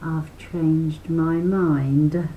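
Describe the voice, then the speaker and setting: A woman's voice: rumbling low, animated, fast talker, gravelly, muffled recording, a little hiss or hum, voice pushed down, heavily acted. Storybook part: a sly male fox, gleeful then snarling, played by an older woman reading aloud. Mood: scheming.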